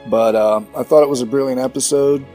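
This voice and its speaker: A man's voice: medium pitch, fairly even intonation, fairly quick, dark tone, faint music behind. A man giving a casual, off-the-cuff spoken review as a podcast listener. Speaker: listener contributing a recorded review.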